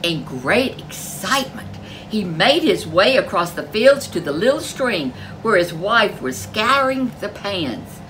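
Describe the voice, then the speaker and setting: A woman's voice: mid-range voice, very animated, measured pace, fairly bright tone, slight background noise, low-quality recording. A woman storyteller reading a folk tale aloud in a dramatic, emphatic way. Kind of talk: animated folk tale narration for children.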